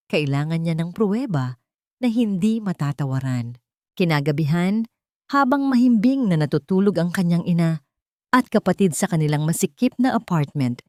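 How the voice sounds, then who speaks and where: A woman's voice: low voice, a little mellow, no room sound, studio quality. A woman reading a scripted, dramatic story as its narrator.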